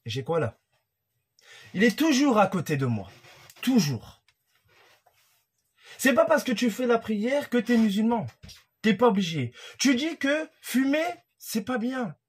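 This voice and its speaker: Husky-voiced man ranting heatedly to camera. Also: very high-pitched, sing-song, a little slow, long pauses. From France. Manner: heated and accusatory, talking straight to the target. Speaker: YouTuber commentator. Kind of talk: casual rant to camera. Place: room at home with a creaky wooden floor.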